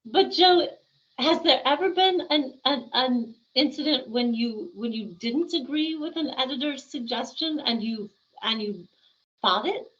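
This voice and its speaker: Female co-host, curious, high voice, muffled lo-fi sound. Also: measured pace, a bit bright. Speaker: podcast co-host. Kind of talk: interview question. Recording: dull lo-fi audio.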